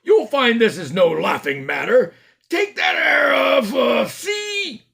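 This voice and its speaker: A man's voice: high-pitched, huge pitch swings, a bit bright, voice pushed up, full character voice. A man voicing a stern, self-important police constable, drawing out his words. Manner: stern, drawn-out and pompous.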